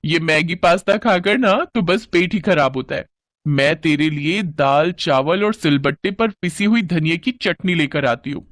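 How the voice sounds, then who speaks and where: A man's voice: fairly high pitch, moderate pace, fairly bright tone, no room sound, close to an everyday voice. A man voicing a teasing, sensible village girl, the bossy elder sister in a cartoon story. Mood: firm. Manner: taunting, bossy.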